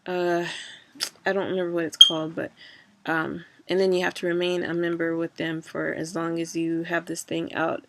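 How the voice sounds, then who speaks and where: A woman's voice: on the low side, flat delivery, moderate pace, gravelly, heavy background noise. A woman talking in a casual personal vlog, rambling with many fillers and restarts, a bit stuffy from a cold.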